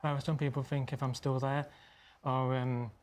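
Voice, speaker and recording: Man with a stammer, flat pitch. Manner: halting, with blocks and repetitions. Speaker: interview guest, social media influencer. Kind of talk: casual personal talk. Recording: clear background noise.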